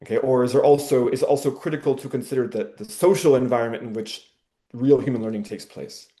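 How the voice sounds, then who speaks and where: A man, a professor, giving a measured webinar presentation over a video call, with frequent ums and uhs. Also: mid-range voice, dark tone.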